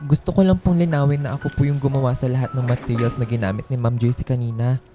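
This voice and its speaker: A woman's voice: very deep voice, fairly even intonation, quick pace, dark tone. A woman playing a timid, wronged office worker in a radio drama, speaking hesitantly and deferentially over music. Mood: nervous, respectful. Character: timid, wronged female office employee, downtrodden. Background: background music.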